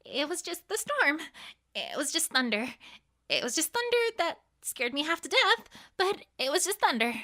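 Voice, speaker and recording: A man voicing an anxious, gentle young female unicorn pony in a storybook reading, hesitant and softly spoken. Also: very high voice, sing-song, moderate pace, pitched above the performer's own voice, full character voice. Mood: shaken, self-soothing. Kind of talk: audiobook character voice.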